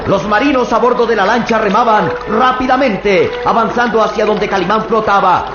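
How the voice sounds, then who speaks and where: A male narrator tells a radio adventure with tense, suspense-building delivery. His voice sits fairly high. The recording is roomy and dull, with loud hiss throughout. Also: normal speed. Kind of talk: dramatic radio serial narration. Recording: echoey, muffled old radio with loud hiss.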